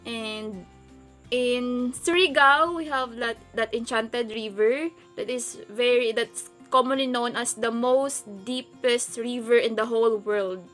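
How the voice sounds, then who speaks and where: A Filipino woman whose first language is Visayan talks in a bright, fairly high voice. She goes slowly and hesitantly, as in a casual question-and-answer vlog, with music playing behind her. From Philippines. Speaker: video blogger.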